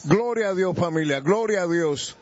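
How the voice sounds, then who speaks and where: Bolivian male testimony giver, native Spanish speaker, high voice, halting delivery, muffled broadcast sound. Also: animated, darkish tone. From Bolivia, Santa Cruz de la Sierra. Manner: halting, mixes in Spanish words. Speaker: church member giving testimony. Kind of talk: religious testimony in a live interview.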